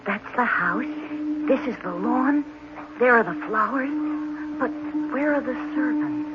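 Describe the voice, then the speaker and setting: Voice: mid-range voice, lively intonation, measured pace, over loud music, close to an everyday voice. An actor playing an earnest, polite but insistent ten-year-old boy in an old-time radio drama. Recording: muffled, noisy old radio recording.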